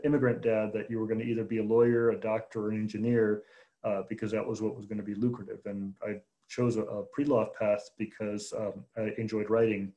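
A man in his forties from Chicago, a journalist answering interview questions in a measured way, with many fillers and restarts. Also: lowish voice, warm tone, low-quality recording. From Chicago, United States. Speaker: journalist guest.

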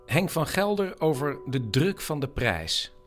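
A man's voice: on the high side, sing-song, measured pace, faint music behind. A man reading scripted narration for a radio documentary.

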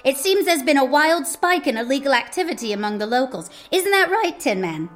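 A woman's voice: sits high, big pitch swings, fairly quick, faint music behind. An actress playing an imperious, sly female ruler with a haughty, brusque, teasing manner. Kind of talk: scripted audio drama acting.

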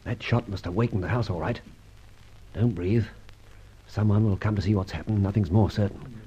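An actor playing a suave, unflappable British gentleman adventurer in an old radio serial, well-spoken, wordy and ironically polite. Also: fairly low pitch, normal speed, husky, muffled recording. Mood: wary, brisk.

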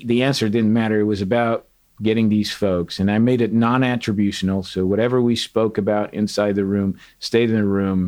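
A middle-aged man from Portugal, a retired sergeant major, telling candid stories in an interview with an earnest, animated manner. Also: fairly low pitch. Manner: earnest, animated, quotes others in dialogue. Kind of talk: candid storytelling.

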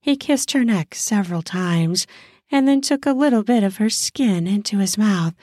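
A woman narrating a scripted erotic story in an intimate, deliberate manner with drawn-out phrasing. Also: medium pitch, animated, darkish tone, no echo, studio quality.

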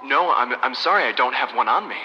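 A man's voice: mid-range voice, lively intonation, fast, a little hiss or hum, close to an everyday voice. An actor playing a polite, concerned, hesitant male interviewer in a comic audio drama. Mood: concerned, puzzled. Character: polite, concerned man interviewing a trapped captive. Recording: muffled, long cavernous silo reverb.

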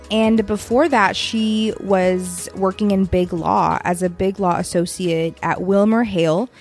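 An upbeat woman hosts a career podcast, introducing her guest with enthusiasm. Her pitch sits in the middle of the range, and faint music plays under her voice. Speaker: podcast host, career coach. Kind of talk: podcast hosting.

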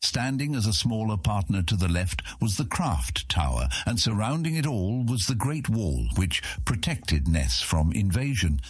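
A man narrating a scripted fantasy audiobook as a measured, expressive storyteller. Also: fairly low pitch, slightly rough, no echo.

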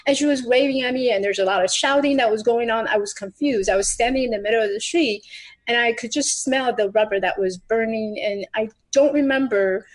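A woman's voice: a little high, a little fast, low-quality recording. A woman in her forties from Taiwan, telling her life story as a podcast guest in an earnest way, with restarts and slight grammar. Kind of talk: personal storytelling.

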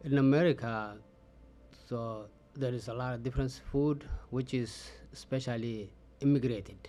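A middle-aged man from Ethiopia whose first language is Oromo, answering interview questions in a halting way with many pauses and fillers. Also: mid-range voice, dark tone, soft background music. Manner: halting, frequent "uh" fillers, simple phrasing. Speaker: interview guest.

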